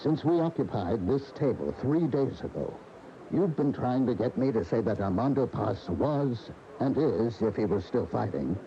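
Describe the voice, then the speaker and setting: A man's voice: mid-range voice, lively intonation, moderate pace, lightly acted. A male actor as a jaded American man with a guarded, defensive temper, in an old radio play. His medium voice moves expressively through a muffled, faintly noisy broadcast. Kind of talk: 1980 radio drama acting.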